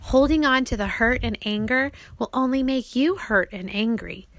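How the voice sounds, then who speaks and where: A woman's voice: fairly high pitch, moderate pace, fairly bright tone, slight background noise. A woman reading an audiobook as its author, explaining things simply, as if to young children.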